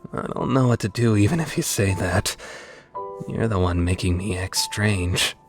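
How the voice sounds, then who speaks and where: A man playing an aloof, awkward young man with hidden kindness in a dubbed visual novel, offhand and awkwardly gentle. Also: lowish voice, moderate pace, over music, natural voice. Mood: hesitant, sincere.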